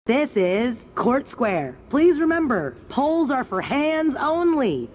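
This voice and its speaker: A woman's voice: high voice, very animated, slow pace. A woman giving playful recorded subway announcements.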